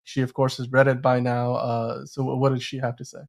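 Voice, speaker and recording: A man with a mid-pitched voice hosts a casual movie podcast. He talks through the news in a halting, winding way, often correcting himself as he goes. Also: little pitch movement, vocal fry. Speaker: podcast host.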